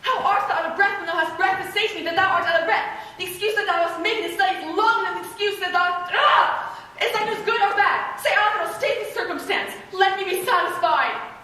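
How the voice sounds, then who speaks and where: Very high-voiced, impatient lovesick girl played by a woman. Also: fairly quick, pushed and bright. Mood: exasperated. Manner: theatrical, eager, pleading. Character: impatient, lovesick young girl of noble house. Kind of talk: Shakespeare stage acting. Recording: echoey hall, distant mic, faint noise.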